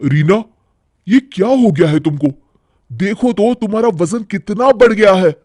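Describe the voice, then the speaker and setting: A man playing a caring but exasperated young husband in a cartoon story, expressive and reproachful. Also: sits high, a little slow, dry recording, clean studio sound, pitched above the performer's own voice. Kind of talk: animated Hindi moral-story cartoon acting.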